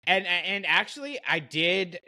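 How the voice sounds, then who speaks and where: A man hosting a podcast in casual chat, with a playful, animated way of bantering. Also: high voice, unhurried, no room sound. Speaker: podcast host.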